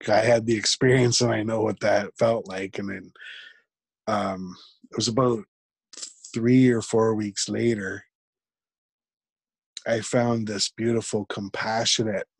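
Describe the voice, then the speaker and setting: A man with a fairly deep voice talks as an interview guest. He speaks reflectively and haltingly, often restarting his sentences. His voice is recorded close and dry, with little room sound. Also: breathy edge, dry recording. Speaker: podcast guest. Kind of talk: conversational interview.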